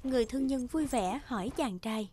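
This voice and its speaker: A woman's voice: on the high side, fairly quick, mellow, a little hiss or hum. A woman narrating a scripted fairy tale as the storyteller.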